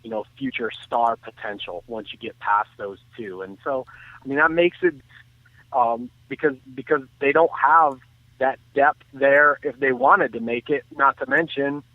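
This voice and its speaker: A man calling in by phone to give casual sports analysis, phrasing his points in a measured, halting way. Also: mid-range voice, dry recording. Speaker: sports talk commentator. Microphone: phone call. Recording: thin, tinny phone line.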